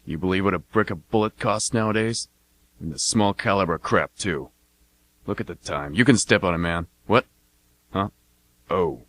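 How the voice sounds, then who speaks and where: A man's voice: sits low, moderate pace, pauses often, fairly bright tone, no echo, low-quality recording. A man playing a laconic, slangy army veteran chatting as a cab passenger in an amateur radio drama. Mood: edgy, impatient. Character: gruff male army veteran cab passenger, guardedly chummy.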